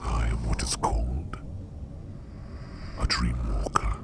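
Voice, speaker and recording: A man playing a curious, gentle sleep demon in a whispered ASMR roleplay, speaking formally and in measured phrases with a gravelly, breathy voice. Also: rumbling low, fairly even intonation, noisy background, heavily acted. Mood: calm, mysterious. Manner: slow, measured, formal old-fashioned diction.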